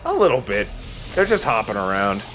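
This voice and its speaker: A man's voice: fairly low pitch, huge pitch swings, brisk, fairly bright tone, poor audio. A man giving casual, sweary commentary while he plays a video game. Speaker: let's play host playing the game. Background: game music.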